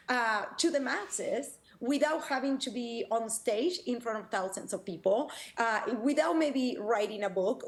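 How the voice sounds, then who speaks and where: Enthusiastic Argentine woman coach. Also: a little high. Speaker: personal branding coach. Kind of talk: video call interview. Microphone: video call.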